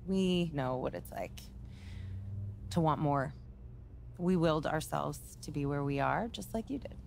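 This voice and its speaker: Low-voiced, composed female agency executive. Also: lively intonation, creaky, a little mellow, slight background noise, full character voice. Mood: personal, earnest. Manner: measured, businesslike. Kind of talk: naturalistic game cutscene dialogue.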